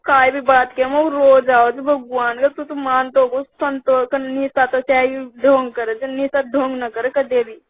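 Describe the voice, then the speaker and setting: A woman's voice: sits high, flat delivery, unhurried, hardly any pauses. A woman with a Rajasthani accent chatting casually on a phone call, complaining and repeating herself. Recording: tinny phone line call recording.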